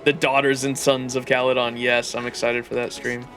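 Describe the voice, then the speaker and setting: A man doing casual livestream commentary on a game, excitable and rambling as he chats. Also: medium pitch, moderate pace. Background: game music.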